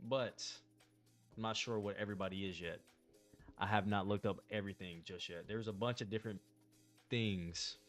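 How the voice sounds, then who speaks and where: Low-voiced laid-back young man streaming. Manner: laid-back slangy chatter to the chat. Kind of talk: casual livestream card-opening commentary.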